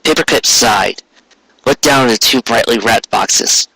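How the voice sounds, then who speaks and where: Bright-voiced man narrating a story. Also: mid-range voice, a little slow, breathy edge, dry recording, low-quality recording. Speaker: audiobook reader.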